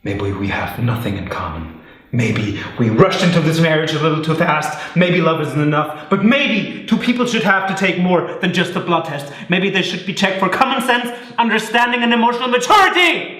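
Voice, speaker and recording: A young man playing an exasperated lawyer husband arguing with his wife, sarcastic, with sudden high outbursts. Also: lively intonation, moderate pace, fairly bright tone. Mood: exasperated lecture. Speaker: actor in a scene. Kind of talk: stage scene acting. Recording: very echoey room.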